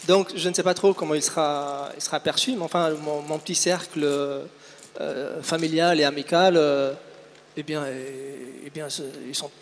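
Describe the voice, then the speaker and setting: A man's voice: a little high, steady tone, measured pace, slight background noise. A man from Tunisia, an author answering spontaneously on a public panel, hesitant and often repeating his words. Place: large hall at a book fair. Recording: echoing hall, long reverb.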